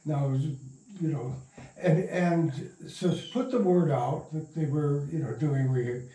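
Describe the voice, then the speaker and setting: A man's voice: fairly high pitch, a little slow, mellow. Older man, echoey, reminiscing in circles. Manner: rambling, circling back mid-sentence. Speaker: interview guest. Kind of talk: casual anecdotal storytelling. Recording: echoey room, distant mic.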